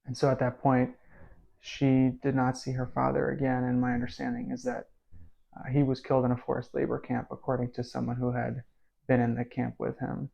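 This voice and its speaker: Flat, gravelly-voiced man explaining. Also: mid-range voice, lo-fi sound. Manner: measured explaining, with um and uh. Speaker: grandson of interviewee. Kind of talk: documentary interview.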